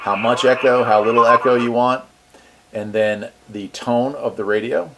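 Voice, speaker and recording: A man's voice: medium pitch, steady tone, moderate pace, very noisy. A man hosting a casual product walkthrough, easygoing and thinking aloud as he goes. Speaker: radio reviewer, channel host.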